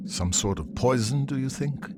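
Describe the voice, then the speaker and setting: An actor playing an earnest, protective hero in a fantasy video game, in a conversational manner. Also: male voice, medium pitch, expressive, normal speed, noisy background, lightly acted. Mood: mild, reassuring. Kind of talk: video game cutscene acting.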